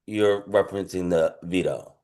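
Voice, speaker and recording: A man's voice: sits low, moderate pace. A man co-hosting a podcast in a casual chat, agreeable and a little hesitant as he gives his views. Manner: agreeable, soft backchannels, hesitant. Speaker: podcast co-host.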